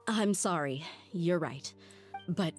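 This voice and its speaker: Young merc bodyguard lady from a game, lowish voice, straight talking, level headed, bit of music under. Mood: puzzled. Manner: frank, measured, thinking aloud. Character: young adult female mercenary bodyguard, frank, level-headed, loyal. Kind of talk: video game voice acting. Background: background music.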